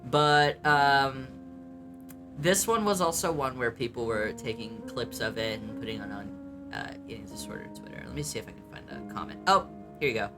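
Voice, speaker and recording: A young man with a light, mid-pitched voice that has a touch of bass. He chats casually and bluntly, mocks himself, and swears without a second thought. Music plays under his talk. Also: normal speed. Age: young adult. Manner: chatty, blunt and self-mocking, swears freely, many ums. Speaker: vlogger reacting to his old videos. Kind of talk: casual reaction commentary.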